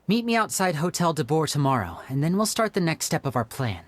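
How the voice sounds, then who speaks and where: A performer voicing a charming, smooth young male magician in a video game, with a polite, persuasive manner. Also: on the high side, very animated, a little fast, dry recording. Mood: plotting.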